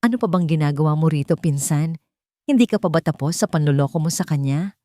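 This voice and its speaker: A smug, scheming rich man who sneers while pretending to care, played by a woman. The voice is low and dry, read close for a dramatized audiobook. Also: expressive, fast talker, warm tone, dry recording. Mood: mocking. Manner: mocking, falsely caring.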